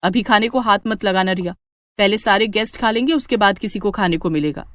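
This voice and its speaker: A woman's voice: mid-range voice, fairly quick, fairly bright tone, no room sound, studio quality, lightly acted. A woman voicing an assertive, resentful elder daughter-in-law, argumentative and insistent, in a cartoon family drama. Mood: annoyed.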